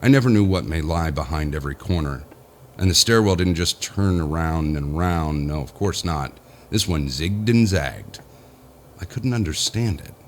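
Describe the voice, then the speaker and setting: A man's voice: a bass voice, lively intonation, moderate pace, a little hiss or hum. An actor playing a powerful, nervous businessman who talks to himself in a rambling, sardonic way, narrating an audio horror drama. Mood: wry, uneasy. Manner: rambling self-talk, sardonic, jittery. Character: powerful businessman, alone, nervous, self-mocking, slowly unravelling. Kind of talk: first-person audio horror monologue.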